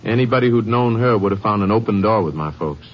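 An American actor playing an earnest, bereaved grown son in a 1950s radio drama, hesitant and polite. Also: male voice, on the low side, expressive, quick pace. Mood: earnest, sorrowful. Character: earnest, bereaved adult son, commercial artist. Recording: muffled old 1950s radio recording.

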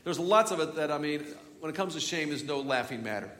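A man's voice: a little high, expressive, fast talker, fairly bright tone. A man preaching a sermon as a pastor, chatty and joking, with frequent restarts. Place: large church hall. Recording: echoey church hall from far off.

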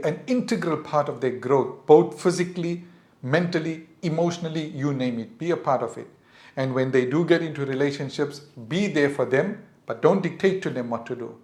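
A man's voice: mid-range voice, a little mellow, roomy sound. A middle-aged man with a South African English accent, hosting a podcast and earnestly advising parents in a reflective monologue. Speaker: podcast host.

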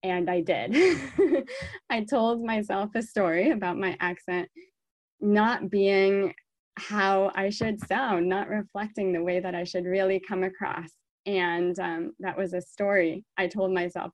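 An American woman speaks alone as a podcast host, addressing her listeners directly. She takes her time and leans on key words for emphasis. Her mid-pitched voice sits in a dry recording with very little room sound. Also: a little slow, dry recording. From born in South Africa, raised in the United States. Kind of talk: solo podcast talk to listeners.

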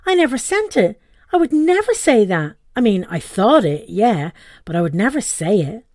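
A woman's voice: medium pitch, sing-song, normal speed, a little mellow, no echo, clean studio sound. A woman doing an indignant exasperated mum. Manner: indignant, demanding. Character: exasperated mother, old-fashioned and suspicious.

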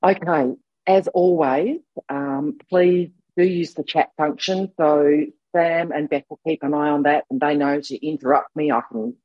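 A hoarse Australian woman in her sixties talks her way through an online masterclass, husky and low from a cold. Her chat rambles and restarts, heard over a dry, dull-sounding video call line. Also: fairly even intonation, measured pace, dry recording. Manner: warm, rambling, with frequent restarts. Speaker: masterclass presenter. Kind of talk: chatty online masterclass talk.

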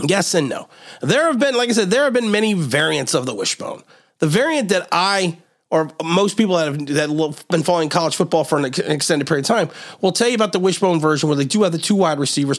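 A man hosting a football talk show, slightly hoarse, rambling through animated analysis. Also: high voice. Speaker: host of a sports talk show. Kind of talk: sports talk vlog.

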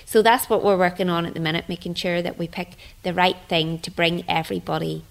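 A woman with a Northern Irish accent speaks as a radio guest. Her pitch is a little low for a woman, and she answers in an informal, conversational style. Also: steady tone, brisk, creaky. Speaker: drama group member, children's teacher, radio guest. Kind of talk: casual interview chat.

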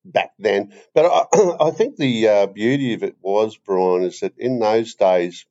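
Older aussie bloke podcast host, lowish voice, rambles deadpan, bit lo-fi sounding. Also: male voice, a little slow. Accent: Australian. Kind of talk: rambling deadpan comedy chat.

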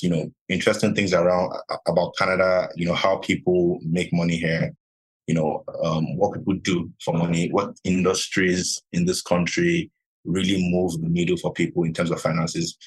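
Man, from nigeria, podcast guest, very low voice, husky, measured, filler-heavy. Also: flat delivery, low-quality recording. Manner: measured, many "you know" fillers. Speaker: podcast guest, real estate investor. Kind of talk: conversational interview answers.

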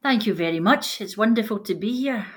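A woman's voice: medium pitch, lively intonation, fairly quick. Scottish woman missionary, sincere and plain-spoken, for an interview. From Scotland. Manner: earnest, plain-spoken faith testimony. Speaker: missionary guest. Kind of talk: interview conversation.

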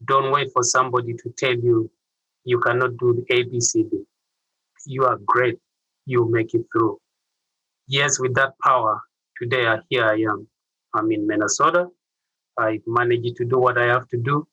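A man's voice: medium pitch, fairly even intonation, moderate pace, pauses often, bright, forceful tone, no room sound, muffled recording. A middle-aged man with a Ugandan accent, telling his story earnestly as an interview guest. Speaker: interview guest, youth mentor.

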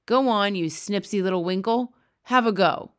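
A woman's voice: mid-range voice, moderate pace, a bit bright, natural voice. A woman voicing a very old, kindly male giant who talks with mangled grammar and made-up words. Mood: gleeful.

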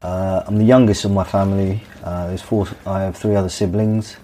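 A man in his thirties who grew up in Dubai, telling his life story as a podcast guest, halting and restarting his sentences often. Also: deep voice, flat delivery, warm tone, a little hiss or hum. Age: 30s. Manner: halting, with many restarts and fillers.

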